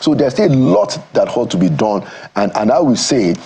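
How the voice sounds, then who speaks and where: A Nigerian man answering as a guest in a TV studio interview, speaking in a measured, thoughtful way and pausing to find his words. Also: medium pitch, very animated, dark tone, slight background noise. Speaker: studio guest, former government aide. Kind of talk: morning TV interview.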